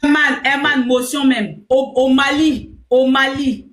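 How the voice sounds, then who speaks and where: High-voiced combative woman, echoey room. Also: fairly even intonation, unhurried. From Burkina Faso. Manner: combative, insulting, forceful. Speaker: live stream host. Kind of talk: heated political talk.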